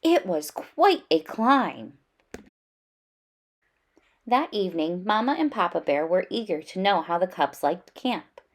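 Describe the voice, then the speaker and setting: A woman reading a children's story aloud in an expressive, animated storytelling style with clear emphasis. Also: fairly low pitch, takes it slowly, pauses often.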